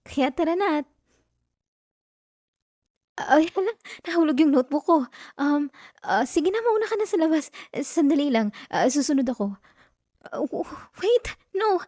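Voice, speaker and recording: A woman's voice: sits high, dry recording, clean studio sound. A Filipino woman playing a possessive, teasing, obsessive girlfriend in an intimate ASMR roleplay, speaking straight to the listener. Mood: sweet, possessive. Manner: intimate, teasing, code-switching into English words.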